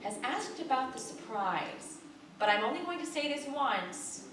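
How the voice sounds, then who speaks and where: A woman's voice: high-pitched, sing-song, measured pace, background noise, pitched above the performer's own voice. Woman reader as a tired, kind social worker, sighing, distant mic in an echoey hall. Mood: announcing. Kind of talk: live ensemble reading. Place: theater stage.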